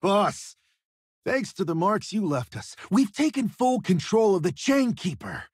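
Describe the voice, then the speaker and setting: A loyal, rough pirate deckhand in a video game. His voice is raspy and animated, a bit high. He speaks gruffly. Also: measured pace, no echo, studio quality, heavily acted. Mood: eager, menacing.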